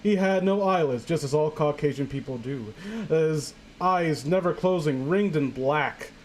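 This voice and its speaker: Slightly high-voiced man, rambling comic read-aloud. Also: unhurried. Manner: stumbles over words and digresses while reading. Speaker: host reading a horror story aloud with commentary. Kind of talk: casual comedic read-aloud with ad-libbed riffing. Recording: clear background noise.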